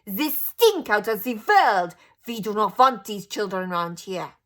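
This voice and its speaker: A woman voicing a cruel, furious female witch leader who shrieks out slow, drawn-out tirades. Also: mid-range voice, very animated, fairly bright tone. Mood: screaming.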